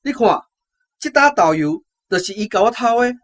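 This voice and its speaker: An actor with a Taiwanese accent playing an angry, domineering shop boss in a radio drama. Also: male voice, sits high, animated, normal speed, fairly bright tone, slight background noise. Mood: angry accusing. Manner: accusing, domineering. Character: furious, bullying male shop boss accusing others.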